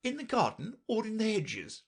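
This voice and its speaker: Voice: mid-range voice, huge pitch swings, moderate pace, gravelly, breathy edge, pitched above the performer's own voice, full character voice. A reader doing a fluttery, anxious queen. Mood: fretful. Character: flustered rambling gentle queen. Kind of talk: audiobook character voice.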